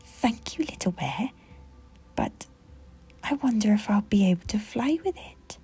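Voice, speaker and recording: A woman voicing a friendly, gentle little female owl in a light, breathy storybook voice over music. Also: mid-range voice, lively intonation, a little slow, voice pushed up. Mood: grateful.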